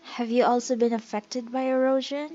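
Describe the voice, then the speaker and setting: A woman's voice: a little high, steady tone, normal speed, warm tone. A woman playing a game, reading dialogue aloud and reacting to it. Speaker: gamer doing a playthrough. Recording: dull lo-fi mic, faint noise.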